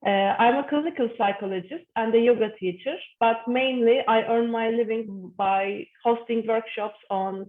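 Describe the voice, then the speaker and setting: A woman in her early thirties from Turkey, speaking with a Turkish accent as an interview guest, earnest and deliberate in her phrasing. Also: a little high, phone-quality sound. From Istanbul, Turkey. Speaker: guest, psychologist and yoga teacher. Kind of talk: interview, personal account.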